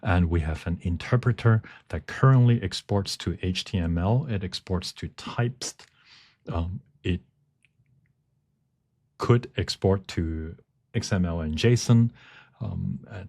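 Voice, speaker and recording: A man giving a technical presentation, speaking haltingly as he searches for words. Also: deep voice, a little mellow, slightly rough. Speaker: conference presenter. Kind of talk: technical talk with live demonstration.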